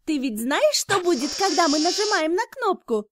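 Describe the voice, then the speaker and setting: High-pitched, animated woman narrating for kids. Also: normal speed, background noise. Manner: enthusiastic, instructive, carefully enunciated. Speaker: narrator of children's educational cartoons. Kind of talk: scripted narration for toddlers.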